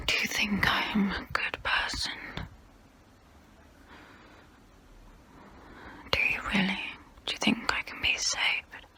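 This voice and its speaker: Girl doing a guilty tormented vampire lady, asmr roleplay, close up breathy whispering, super slow and hushed. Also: mid-range voice. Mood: anguished, fearful. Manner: slow, hushed, deliberate, pausing between phrases. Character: guilt-ridden female vampire, tormented, confessional, bloodthirsty. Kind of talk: ASMR role play, whispered close-up monologue. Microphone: close-up microphone.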